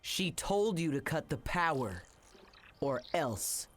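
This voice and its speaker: Cocky young space captain, jokey and bouncy, lots of up and down, loud game music. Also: male voice, on the high side, big pitch swings, slow. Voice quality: joking, breezy. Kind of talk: video game cutscene acting.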